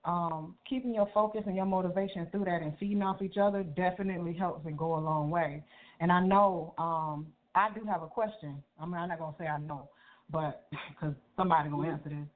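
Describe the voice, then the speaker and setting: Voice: medium pitch, steady tone. Chatty slangy host on a phone line. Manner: chatty, slangy, quick to laugh. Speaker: interview host. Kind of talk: casual phone interview.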